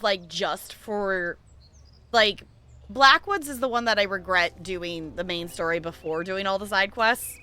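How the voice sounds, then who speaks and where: A woman's voice: mid-range voice, bright, forceful tone. A woman giving casual, chatty livestream commentary while playing a video game, with music behind her. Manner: informal, chatty.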